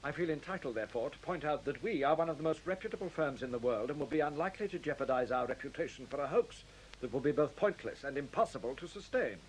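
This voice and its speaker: A man's voice: fairly high pitch, expressive, quick pace, natural voice, rough recording. A man playing a polite, businesslike engineer in a radio play, formal and earnest. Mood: indignant, formal. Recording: faint hiss on radio play recording.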